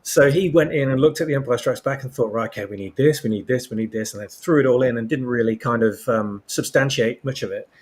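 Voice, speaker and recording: A man's voice: medium pitch, fast talker, few pauses. A man talking as an interview guest and writer, explaining his ideas thoughtfully and searching for the right words. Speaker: guest, fan fiction writer.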